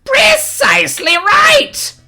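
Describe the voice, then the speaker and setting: Towering theatrical male alien, shrill and booming; a woman reads him. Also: sing-song, takes it slowly, vocal fry, sharp tone, soft background music, exaggerated voice. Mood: manic.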